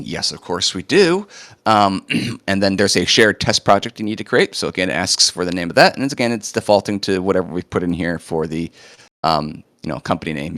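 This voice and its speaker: A man presenting a live coding demo, chatting casually with lots of fillers, his voice a bit hoarse as he recovers from illness. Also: deep voice, a bit bright, no room sound. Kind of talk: live screen-share walkthrough.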